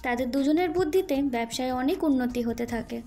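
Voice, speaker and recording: A woman's voice: sits high, animated, quick pace, dark tone. A woman narrating a scripted cartoon story. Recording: music under the voice.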